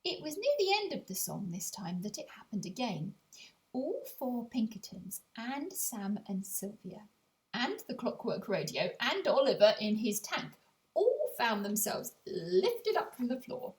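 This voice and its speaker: High, very animated woman storyteller. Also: unhurried. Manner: storytelling, with confiding asides to the listener. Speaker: author and audiobook narrator. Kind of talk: scripted children's story narration.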